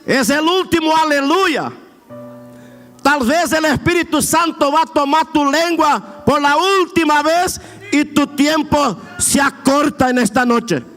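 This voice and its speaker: A middle-aged man preaching an emphatic, drawn-out sermon in Argentine border Spanish with a Brazilian-influenced accent. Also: very high-pitched, fairly bright tone, faint music behind. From border area between Argentina and Brazil. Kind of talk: Pentecostal sermon. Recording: echoey hall with long reverb.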